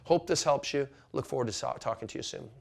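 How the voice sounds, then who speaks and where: A man with a mid-pitched voice presents an instructional business explainer. He speaks emphatically, leaning on key words, and regularly tags his points with short questions to check that listeners follow. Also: lively intonation, quick pace, creaky, a little mellow. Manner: emphatic, with frequent "okay?" checks.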